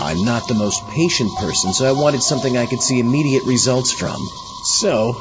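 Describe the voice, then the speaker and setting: A man's voice: medium pitch, lo-fi sound, lightly acted. A man playing a theatrical, tongue-in-cheek zombie astronaut who hosts a comic horror radio show. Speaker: host. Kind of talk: scripted comic horror narration.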